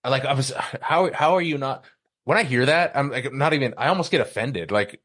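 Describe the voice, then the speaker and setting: A man's voice: mid-range voice, fairly quick, a bit bright. A man co-hosting a podcast in casual chat, slangy and profane, with a jokingly exasperated way of talking. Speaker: podcast co-host.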